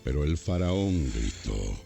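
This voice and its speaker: Very deep, creaky male narrator. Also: big pitch swings, slow pace, mellow, soft background music. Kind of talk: dramatized scripted narration of scripture.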